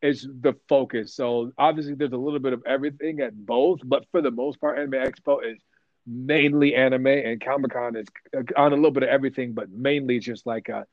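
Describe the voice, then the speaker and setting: A man being interviewed about his work as a voice actor, telling stories in a rambling, animated way with lots of restarts. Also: mid-range voice, a little fast, dry recording, lo-fi sound. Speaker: voice actor guest. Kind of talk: casual interview chat.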